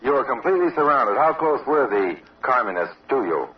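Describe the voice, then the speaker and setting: A man's voice: medium pitch, sing-song, a little fast. A man working as a radio reporter, conducting an on-location news interview. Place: outdoor observation post. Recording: dull, distant old radio field recording.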